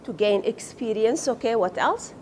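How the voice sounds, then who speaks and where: A woman's voice: on the high side, expressive, moderate pace, darkish tone, slight background noise. A woman whose first language is Arabic, teaching a class and quizzing her students, then praising their answers. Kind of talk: classroom lecture with questions.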